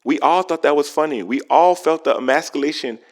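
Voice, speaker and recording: A man's voice: fairly high pitch, a little fast. A man giving opinionated commentary in an emphatic way, asking rhetorical questions and drawing out words for effect. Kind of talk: opinionated gossip commentary to camera.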